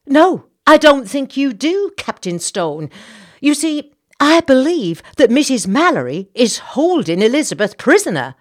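Insistent little society matron for audiobook, high voice, genteel, talkative, emphatic. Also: female voice, big pitch swings, measured pace. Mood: indignant, urgent. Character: petite, insistent, indignant Southern society lady, senator's wife. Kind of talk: audiobook character dialogue.

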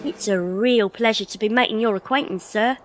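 Woman playing a cheeky high-voiced street boy. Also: a little fast, soft background music, lightly acted. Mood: eager, cheeky. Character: cheeky, streetwise Victorian London urchin boy. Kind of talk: audio drama acting.

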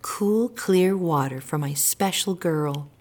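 A woman playing a caring mother who coaxes and gently prods her girl in an audio drama. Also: fairly low pitch, slow. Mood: tender, instructive. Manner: nurturing, coaxing, instructive. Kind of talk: naturalistic audio drama acting.

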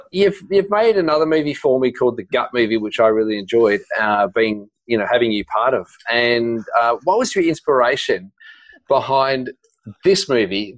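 A man's voice: lowish voice, dry recording, rough recording. A man from New Zealand co-hosting a podcast, joking around and laughing often in casual chat. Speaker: podcast co-host.

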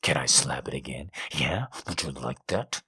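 A man voicing a commanding, growling male lover who speaks low and often in a hushed whisper. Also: moderate pace, slightly rough, heavily acted. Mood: domineering.

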